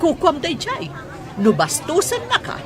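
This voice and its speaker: A woman's voice: very high-pitched, huge pitch swings, a little slow, a crowd in the background, heavily acted. A woman playing a concerned schoolteacher in a drama. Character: concerned, animated female schoolteacher. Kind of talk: radio-style drama dialogue.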